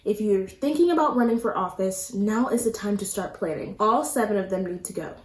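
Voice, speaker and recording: A woman's voice: medium pitch, animated, a little fast. A woman activist addressing viewers directly in an emphatic, blunt way. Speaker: activist spokesperson. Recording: echoey room sound.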